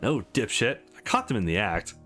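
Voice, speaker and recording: A man's voice: lowish voice, wildly swooping pitch, moderate pace, bright, forceful tone, exaggerated voice. A man voicing a blunt, affectionate young woman who teases her brother in a visual novel. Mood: teasing. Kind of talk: visual novel character read aloud. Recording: music behind the voice.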